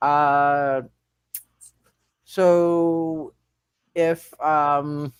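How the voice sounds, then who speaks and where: Halting, higher-voiced man running a game. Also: level tone, smooth, no echo. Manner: halting, stammering, repeats words. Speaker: game master. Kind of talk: improvised narration of a live tabletop superhero game.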